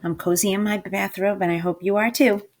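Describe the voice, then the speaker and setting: A woman's voice: mid-range voice, fairly even intonation, brisk, darkish tone. A woman reading a storybook aloud to children, with dramatic emphasis and coaxing questions to her listeners. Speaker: storybook reader, teacher. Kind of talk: expressive read-aloud to children.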